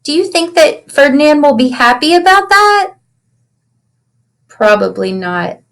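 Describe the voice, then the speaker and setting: A woman reading a picture book aloud to children in an animated storytelling way, pausing to ask them simple questions. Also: sits high. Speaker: children's read-aloud teacher.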